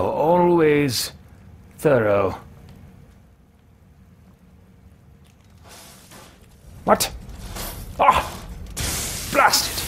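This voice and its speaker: A man's voice: fairly high pitch, a little hiss or hum. A man in his thirties roleplaying a formal, meticulous, irritable wizard adventurer in a video game, with stilted theatrical speech. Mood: musing, deliberate, then exasperated. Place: small room at home with shelves.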